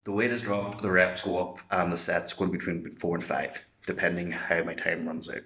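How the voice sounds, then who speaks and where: Low-voiced man vlogging a workout. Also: fairly even intonation, normal speed, vocal fry, sharp tone. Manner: boisterous, chatty, swears when hyped. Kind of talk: casual workout vlog. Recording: echoey, dull lo-fi room sound.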